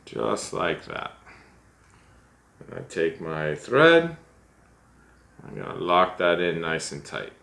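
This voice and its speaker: A man's voice: deep voice, sing-song, takes it slowly, gravelly, long pauses, sharp tone. A middle-aged man in a small room, talking through a fly-tying tutorial step by step in a chatty, self-deprecating way and thinking aloud as he works. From United States. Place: small room at home, seated at a desk.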